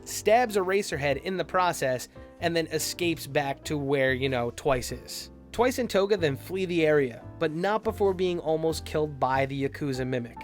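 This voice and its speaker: A man's voice: on the high side, expressive, moderate pace, soft background music. A man giving a casual, chatty episode recap in an informal, lightly sweary way, as an online anime reviewer.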